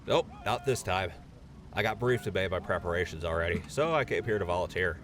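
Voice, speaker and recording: A man's voice: deep voice, expressive, brisk. A man playing a nervous, earnest young infantry soldier in an audio drama, shy and hesitant.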